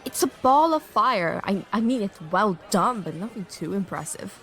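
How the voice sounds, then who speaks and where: A woman plays a prim and skeptical young woman in a fantasy audio drama. She speaks formally at a medium pitch with lively swings, over faint music. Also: moderate pace.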